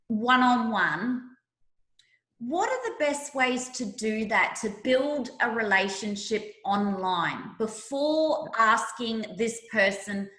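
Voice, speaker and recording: Female podcast host, higher voice, effusive and emphatic interviewer, unhurried delivery, echoey room. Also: sharp tone. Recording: roomy, echoey sound.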